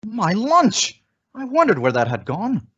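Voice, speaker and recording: An actor playing a well-meaning, befuddled husband and office worker in an old radio comedy. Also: male voice, high voice, huge pitch swings, measured pace, a bit bright, husky, exaggerated voice. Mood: flustered, vague. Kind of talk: 1950s radio sitcom acting. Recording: dull vintage radio recording.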